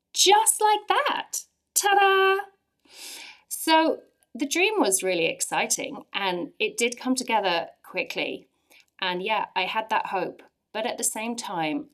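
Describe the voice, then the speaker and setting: A woman in her forties with an English accent, earnestly sharing a personal faith testimony with lively emphasis. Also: mid-range voice. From England. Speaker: church speaker sharing testimony.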